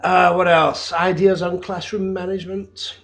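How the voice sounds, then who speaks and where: Fifties teacher, unhurried and hesitant, a touch high-pitched, pitching himself on a home camera recording. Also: male voice, flat delivery, gravelly. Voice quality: loud voice. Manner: hesitant, with frequent ums and uhs. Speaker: teacher applying for a job. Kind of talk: unscripted video application monologue. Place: his own flat, indoors.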